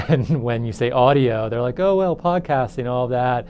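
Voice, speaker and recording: A man's voice: mid-range voice, expressive, normal speed, mellow, a little hiss or hum. A man who teaches, explaining things informally in a documentary interview. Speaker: audio production teacher.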